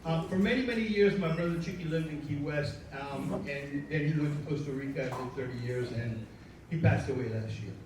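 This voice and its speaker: A man's voice: fairly high pitch, darkish tone, slight background noise. Rambling man with a Cuban accent. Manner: loose, self-deprecating, halting with fillers. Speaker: show producer. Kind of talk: rambling welcome speech. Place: live stage before an audience. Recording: echoey room, mic from far, audience.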